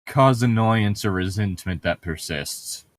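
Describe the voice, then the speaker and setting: Male read-aloud co-host, deep and slowish, sweary, breaking off mid-sentence to banter. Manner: sweary, self-interrupting. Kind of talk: reading a novel aloud with casual joking banter.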